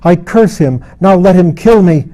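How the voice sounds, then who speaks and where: A man's voice: high-pitched, lively intonation, measured pace, warm tone, voice pushed up. A man voicing a tormented, defiant fallen queen in a fantasy audiobook, bitter, proud and impassioned. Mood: despairing, cursing. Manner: impassioned, gasping outbursts. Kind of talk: dramatised audiobook reading of a fantasy novel.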